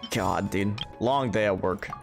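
A man's voice: fairly low pitch, slow pace, gravelly. A tired man giving casual livestream commentary on a game, laid-back, with sarcastic asides and mild swearing. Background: game music.

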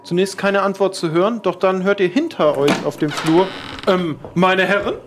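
A man's voice: high-pitched, fairly quick, over music. A man narrating a tabletop horror game as game master.

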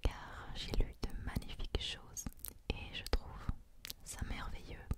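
A woman hosting ASMR, speaking in a gentle, hushed whisper as she chats and reads poetry. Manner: gentle, hushed, unhurried delivery. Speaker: ASMR host.

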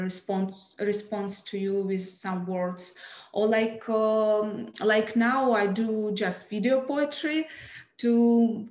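A young woman with a Russian accent, speaking as a panelist on a video call, halting and searching for words with many fillers. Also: mid-range voice, mellow, echoey room. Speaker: panelist poet. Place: home room, indoors. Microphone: video call, headset.

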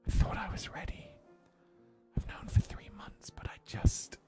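A man acts out a grieving psychic man in a fantasy story who cannot let go. His voice is strained and breathy, lifted well above a deep register, pleading bitterly over faint music. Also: lively intonation, slow, dark tone, full character voice. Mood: pleading, hurting.

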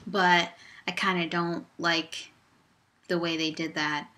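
Bright-voiced young woman vlogging by webcam. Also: little pitch movement, takes it slowly. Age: young adult. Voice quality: low voice for a woman. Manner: upbeat, chatty, loose rambling. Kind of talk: casual vlog chat. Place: small dorm room, indoors. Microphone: laptop webcam at desk distance.

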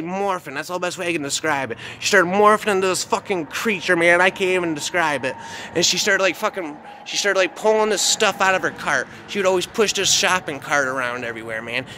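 A man tells a horror story in the first person, casually and with plenty of slang and swearing. His voice is fairly high and forceful, and he rambles and repeats himself. Faint ambient noise sits behind him.

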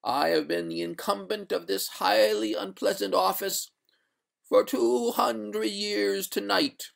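A man's voice: high voice, fairly even intonation, takes it slowly, gravelly, pitched above the performer's own voice, heavily acted. A man voicing an indignant, doomed ghost maiden in a storybook, with a gurgling voice and haughty, old-fashioned formal speech. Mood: mournful, doomed. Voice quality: gurgling, watery. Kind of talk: storybook character voice.

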